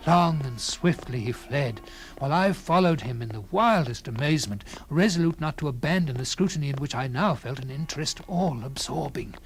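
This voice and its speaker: A man reading a classic literary story in a dramatic first-person style, with theatrical, deliberate phrasing and swelling emphasis. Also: mid-range voice, animated, faint music behind. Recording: clearly noisy with background ambience.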